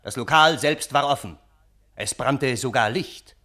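An actor playing a wry, wordy gentleman who narrates a German radio play in measured, ornate, ironic phrases. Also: mid-range voice. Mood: dramatic narration. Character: wry, wordy storytelling uncle, Russian gentleman, scheming and earnest.

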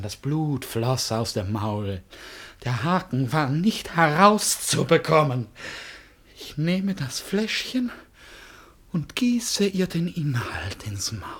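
An actor in a German radio drama playing an agitated, feverish, accusing man, husky and theatrical, swinging between whispers and shouts. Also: medium pitch, heavily acted. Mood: brooding, intense.

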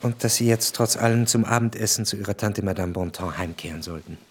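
A man playing an introspective narrator recalling his past love in a literary radio drama, measured and reflective. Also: on the low side, husky.

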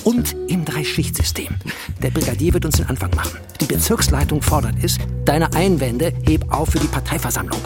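A man's voice: fairly high pitch, expressive, a little fast, over loud music. An actor playing a firm, demanding male party secretary, terse and insistent in a radio play. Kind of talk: theatrical radio play acting.

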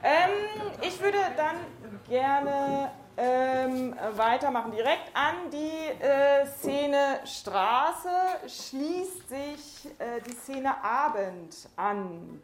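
A woman teaching a school German class in a classroom, speaking hesitantly and haltingly. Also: high voice, expressive. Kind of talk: classroom lesson. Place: echoing classroom. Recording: echoey room.

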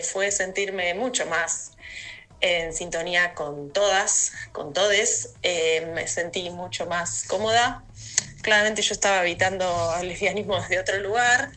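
An Argentine woman telling a personal story in a recorded message, reflective and hesitant, pausing between phrases. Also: mid-range voice, bright, forceful tone, low-quality recording.

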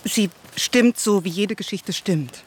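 An actress playing a skeptical ironic wife. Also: female voice, mid-range voice, slow pace, a little hiss or hum, lightly acted. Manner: skeptical, probing, sometimes whispering. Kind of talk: radio drama acting.

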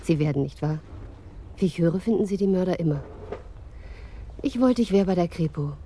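A woman's voice: fairly low pitch, animated, moderate pace, warm tone, a little hiss or hum, close to an everyday voice. An actress playing an eager, admiring young policewoman in a radio crime drama. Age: young adult. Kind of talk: 1980s radio drama acting.

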